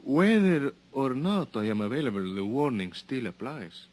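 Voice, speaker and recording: An actor playing a formal, stern police lieutenant with a Mexican accent in an old time radio drama, stiffly courteous. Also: male voice, medium pitch, sing-song, normal speed, a little mellow, close to an everyday voice. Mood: warning. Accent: Mexican Spanish.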